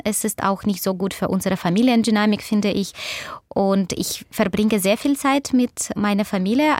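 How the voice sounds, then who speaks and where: Brief: woman from Ukraine, Russian-native Ukrainian accent, thoughtful, sometimes hunting for words; mid pitch, dry close mic. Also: no echo. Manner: reflective, searching for words. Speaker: interview guest, author and doctor. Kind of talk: conversational interview answers.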